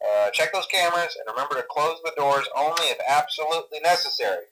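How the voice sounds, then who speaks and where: A man's voice: a little high, expressive, fast talker, sharp tone, rough recording. An actor playing a nervous, chipper male company employee who leaves rambling, stammering, awkwardly reassuring training messages through a telephone filter. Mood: casually reassuring. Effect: telephone voicemail filter. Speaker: phone guide to a night watchman. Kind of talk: recorded phone training message.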